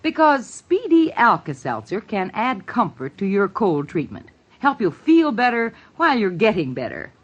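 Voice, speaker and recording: A woman's voice: medium pitch, sing-song, normal speed. A woman reading a scripted 1950s radio commercial as the product's spokeswoman. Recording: dull, band-limited 1950s radio recording.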